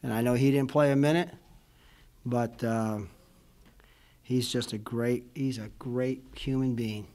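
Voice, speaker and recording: Brief: middle-aged male coach, postgame presser, rambly and heartfelt, fills every pause with "you know", table mic. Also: mid-range voice, fairly even intonation, a little mellow. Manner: rambling, emotional, many fillers like "you know what I mean". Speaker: college basketball head coach. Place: indoor press room before a logo backdrop. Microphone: table mic in front of him.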